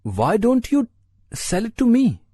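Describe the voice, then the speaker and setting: A woman's voice: a little high, wildly swooping pitch, unhurried, warm tone, slightly rough, no room sound, clean studio sound, heavily acted. A woman reading a story voices a courteous, eager foreign businessman who keeps pressing his offer. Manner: courteous, coaxing.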